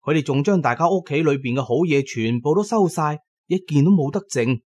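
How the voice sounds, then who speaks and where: A man's voice: a little high, expressive, darkish tone. A man voicing a young, eager, gossipy village accountant in an audio storybook, with a chatty way of telling news. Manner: chatty, drawn-out tale-telling with particles.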